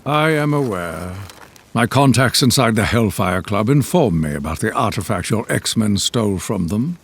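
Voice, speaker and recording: Imperious mutant villain, animated delivery. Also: male voice, fairly low pitch, normal speed, a little hiss or hum. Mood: scornful. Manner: grandiose, condescending, menacing. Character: imperious vengeful older male mutant supremacist villain. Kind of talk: dramatic video game cutscene acting.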